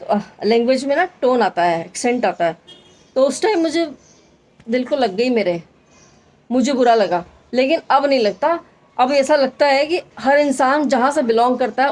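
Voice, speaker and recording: A woman's voice: high voice, darkish tone. A woman in her thirties from the Hadoti region of Rajasthan, with a mild Hadoti tone, chatting casually in a daily vlog and repeating phrases for emphasis. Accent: Hindi with a mild Hadoti Rajasthani tone. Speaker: vlogger, homemaker.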